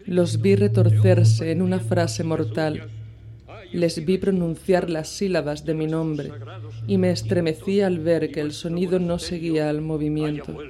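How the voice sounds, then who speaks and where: A woman giving a slow, measured dramatic reading of a horror tale, with long pauses between phrases. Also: deep voice, steady tone, mellow. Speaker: reader.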